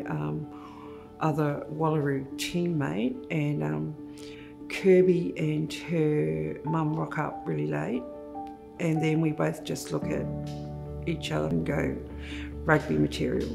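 A woman's voice: low-pitched, slow pace, gravelly. A young woman recalling a teammate's start in rugby, casual and anecdotal, in a documentary interview. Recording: music underneath.

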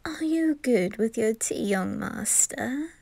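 A woman voicing a playful, eerie male undertaker in an anime fan roleplay, teasing and drawn-out. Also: a little high, lively intonation, vocal fry, dark tone, no echo, heavily acted.

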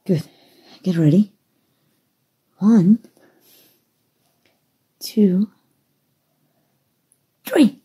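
A woman from Mexico playing a kind undercover rebel rescuer in an ASMR roleplay, with folksy, hushed speech and a soft, breathy voice. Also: mid-range voice, expressive, very slow, long pauses, full character voice. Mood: hushed, urgent. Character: kind human rescuer, gruff-talking, reassuring undercover rebel.

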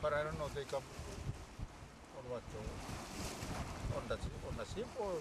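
An older man, a master navigator whose first language is Chuukese, speaking haltingly in English in a casual chat on a sailboat at sea. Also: a little high, lively intonation. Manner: halting, with frequent pauses and fillers. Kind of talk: casual conversation. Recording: loud wind and sea noise.

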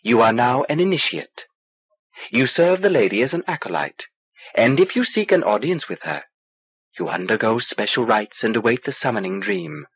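A small, knowing alien sorcerer played by a male narrator speaks in dry, cryptic, measured lines. The voice is husky and moves freely in pitch. It comes from an audiobook on a dull old cassette. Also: very animated, dry recording, heavily acted. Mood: dry, discouraging. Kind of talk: audiobook character dialogue.

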